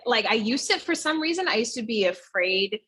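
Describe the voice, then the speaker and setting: A woman's voice: on the high side, moderate pace, slightly rough. Chatty woman in her thirties. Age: 30s. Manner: chatty, animated, acts out quoted voices. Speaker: fitness studio owner, guest. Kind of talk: casual interview answers.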